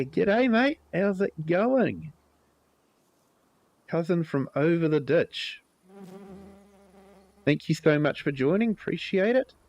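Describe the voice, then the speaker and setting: A man with a New Zealand accent hosting a live gameplay stream, chatting with viewers in a relaxed way, his voice a little croaky from a cold. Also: fairly high pitch, no echo, dull audio. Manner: relaxed, chatty, greets viewers by name, many ums. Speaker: livestream host.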